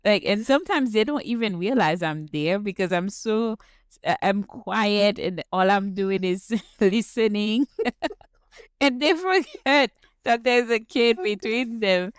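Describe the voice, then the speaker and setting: Woman with a Haitian accent, podcast host, slow unhurried delivery, smooth clear tone, close dry sound, soft-spoken and affirming. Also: medium pitch, lively intonation, no echo. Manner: soft-spoken, affirming listener with frequent short agreements. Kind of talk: casual conversation.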